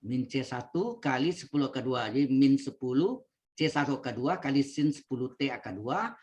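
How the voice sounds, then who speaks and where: A man's voice: medium pitch, expressive, normal speed, a little mellow. Man lecturing math over a video call. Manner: checks in with students, repeats steps. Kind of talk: online calculus lecture. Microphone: computer mic on video call.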